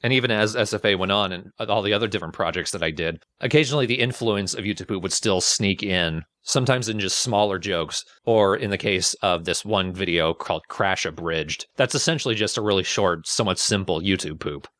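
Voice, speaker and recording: Low-voiced man talking casually. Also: a little fast, few pauses, fairly bright tone, no echo, studio quality. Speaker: video creator, host. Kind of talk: casual personal reflective talk.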